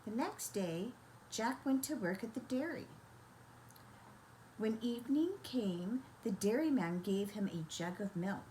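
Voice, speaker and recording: An older woman storyteller reading a children's bedtime story in a gentle, expressive, grandmotherly manner. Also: mid-range voice, unhurried, a little hiss or hum, low-quality recording.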